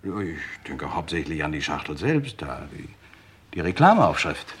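A wry gentleman writer, speaks very low. He is polite, talkative and mildly eager, narrating his own case in the manner of an old German radio crime play. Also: very animated, normal speed, breathy edge, exaggerated voice. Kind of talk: 1950s-80s German radio crime drama acting.

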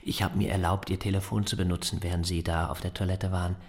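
An actor in a German radio drama playing a pedantic, insistent neighbour who needles and slyly presses for money. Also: male voice, low-pitched, little pitch movement, fast, husky. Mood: slyly matter-of-fact.

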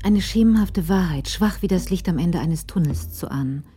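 A woman narrating a literary crime story in a radio drama, in a measured storytelling manner. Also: on the low side, lively intonation, warm tone, husky. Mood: measured, suspenseful.

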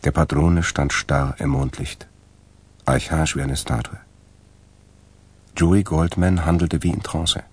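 Extremely deep, gravelly male narrator. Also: steady tone, slow pace, pauses often, no room sound. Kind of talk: scripted narration of a radio crime drama. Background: music.

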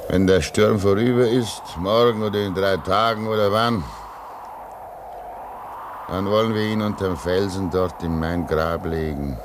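An actor playing a pious, weary old man at a polar station in a German radio play, speaking solemnly and deliberately. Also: deep voice, fairly even intonation, background noise. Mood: grieving, resigned. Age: older.

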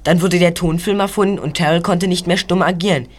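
An actor playing Bob, a studious boy detective, reporting in a factual, measured storytelling manner in an audio drama. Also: high-pitched, fairly even intonation, a little hiss or hum, lightly acted. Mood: informative.

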